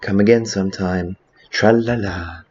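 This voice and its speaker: Game fan dub: whimsical river spirit acted by a man, low-pitched, wistful, muffled recording. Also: fairly even intonation, unhurried, darkish tone, breathy edge. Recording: dull lo-fi audio with faint noise.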